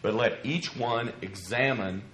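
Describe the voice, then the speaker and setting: A man preaching an expository sermon in a measured, earnest way, his voice a little scratchy from a cough. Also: mid-range voice, fairly bright tone. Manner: measured, earnest, explanatory with rhetorical questions. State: slightly scratchy from a lingering cough. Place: church pulpit, roomy indoor space. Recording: echoey room.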